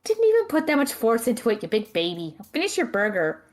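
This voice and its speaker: Woman doing snarky young student girl, audio drama, blunt and exasperated, really animated intonation. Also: on the high side, a little fast, soft background music. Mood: teasing. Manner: deadpan, sarcastic, exasperated. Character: sardonic, blunt young magic student, quick to threaten friends. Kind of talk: audio drama character banter.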